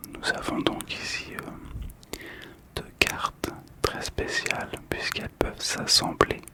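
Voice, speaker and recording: Whispering man, slow ASMR storyteller. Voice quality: breathy, unvoiced whisper. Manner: soft, slow, calm. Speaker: host of a relaxation channel about collectible cards.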